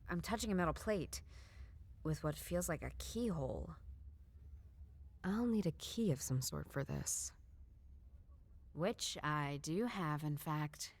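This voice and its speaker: An actress playing a tough, dry-witted woman detective who narrates a noir game in a deadpan, understated way. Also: deep voice, slow, pauses often, full character voice. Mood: dry, matter-of-fact.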